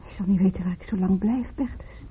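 A woman's voice: medium pitch, fast talker, a little hiss or hum, close to an everyday voice. A woman acting a fragile, confused young wife in hospital in a radio drama. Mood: dreamy, frail. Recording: muffled phone-like old radio recording.

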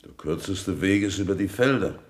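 An actor in a radio play playing a gruff, hot-tempered farmer who is curt and defensive. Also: male voice, deep voice, lively intonation, normal speed. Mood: sullen, defensive. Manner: curt, defensive, easily provoked. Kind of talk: German radio play acting.

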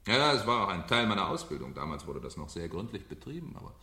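A bright, sharp-edged fire captain who swoops theatrically through his lines. He is domineering and mocking, a well-read man enjoying his own menace. It is an echoey old radio play recording. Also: low-pitched, very animated, fast, heavily acted. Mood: jovial, needling. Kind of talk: German radio play acting. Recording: echoey 1970 radio drama studio.

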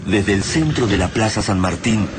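Very deep, solemn male radio narrator. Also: expressive, moderate pace, noisy background. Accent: Argentine. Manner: solemn, ominous. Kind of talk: dramatic scripted radio narration. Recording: music and effects behind.

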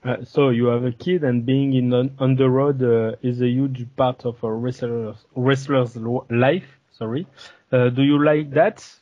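A slightly dull, dry recording carries a man with a French accent. He has a voice of middling pitch and asks questions as an interviewer. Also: fairly even intonation, measured pace, dry recording.

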